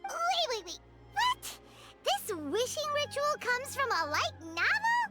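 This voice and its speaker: A woman voicing a tiny, excitable, greedy childlike fairy companion in an animated video game, squeaky and exclamatory. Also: slow, exaggerated voice. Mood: surprised. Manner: exclamatory, refers to self in third person. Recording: music behind the voice.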